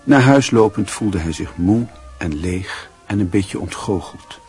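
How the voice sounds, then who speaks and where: A man's voice: deep voice, expressive, unhurried, a little mellow, husky, soft background music, full character voice. A man giving wry, scripted literary narration in a Dutch radio play. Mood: wry, reflective.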